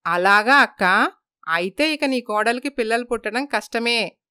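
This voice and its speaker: A woman's voice: fairly high pitch, lively intonation, normal speed, sharp tone, smooth, no echo, studio quality. A woman voicing a gossipy, blunt village neighbour woman in a moral story. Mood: meddling.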